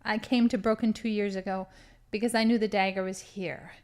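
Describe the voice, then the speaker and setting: A woman's voice: mid-range voice, fairly even intonation, fairly quick, darkish tone, lightly acted. A woman acting as a boastful, theatrical villain, a cheerfully murderous crime boss in a comedy audio drama. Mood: menacing. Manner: gleeful, boastful, dramatic monologuing.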